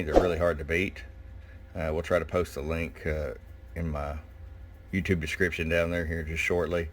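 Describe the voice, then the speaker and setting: A man giving a casual, hands-on product review in a folksy, unhurried way with pauses. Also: deep voice, a bit bright. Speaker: shop owner presenting product. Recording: clear background noise and room ambience. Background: background noise.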